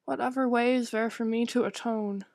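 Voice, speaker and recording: A woman voicing a meek, guilt-ridden, devout young daughter who speaks softly and submissively in a visual novel. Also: on the high side, fairly even intonation, normal speed, no room sound, studio quality, natural voice. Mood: pleading, resolved. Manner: soft, submissive, apologetic.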